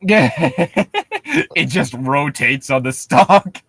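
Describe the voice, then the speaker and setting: A man's voice: medium pitch, extremely slow, fairly bright tone, breathy edge, no echo, studio quality. A man co-hosting a podcast in a casual, comedic way, picking things apart with incredulous, profane commentary. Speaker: podcast co-host reading and commenting on a horror story.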